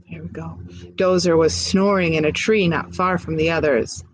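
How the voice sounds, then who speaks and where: A woman reading a picture book aloud expressively, pausing to chat to young listeners with questions and asides. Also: low-pitched, steady tone, slight background noise, low-quality recording. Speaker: storybook reader.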